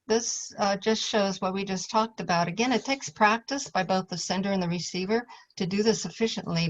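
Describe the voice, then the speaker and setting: A female instructor with a mid-range voice walks through class material step by step. Her explaining is informal and practical in style. The audio is slightly muffled and lo-fi. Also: mid-range voice. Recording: dull, lo-fi audio.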